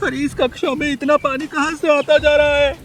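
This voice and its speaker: A man voicing a timid young schoolboy crow in a cartoon, with a plaintive, drawn-out delivery. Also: very high-pitched, flat delivery, fairly bright tone, slight background noise. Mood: terrified. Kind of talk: cartoon character acting. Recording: music behind the voice.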